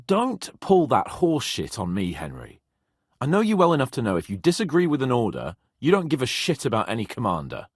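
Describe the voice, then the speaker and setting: A cocky young medieval lord for a video game, proud and quick to mock. His pitch swings hugely from phrase to phrase, and the voice has a slightly husky edge. It sounds close and dry. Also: dry recording. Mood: angry, exasperated. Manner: cocky, sardonic, swearing, expressive. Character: brash, teasing young medieval nobleman, proud and hot-headed. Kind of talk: video game voice acting, dramatic dialogue.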